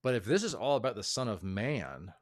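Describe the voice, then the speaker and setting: A man's voice: lowish voice, sing-song, brisk. A man as a podcast guest, explaining his views in a hedging, thoughtful way with many fillers. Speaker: author and guest. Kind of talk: casual interview talk.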